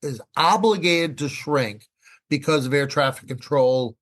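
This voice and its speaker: A man's voice: mid-range voice, measured pace, a bit bright. A man talking conversationally as an expert podcast guest, emphatic, repeating phrases and posing rhetorical questions. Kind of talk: conversational explaining.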